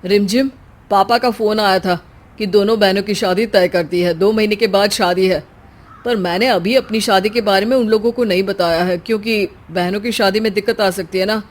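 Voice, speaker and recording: A woman voicing a young village man who moves to the big city, in an animated story. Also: mid-range voice, moderate pace, natural voice. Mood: earnest.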